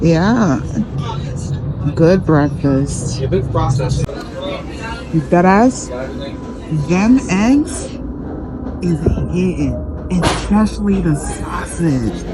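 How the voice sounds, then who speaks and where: A woman's voice: on the low side, animated, extremely slow, long pauses, noisy background. A woman giving casual travel vlog commentary in a blunt, slangy, profane way. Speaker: travel vlogger and podcast host.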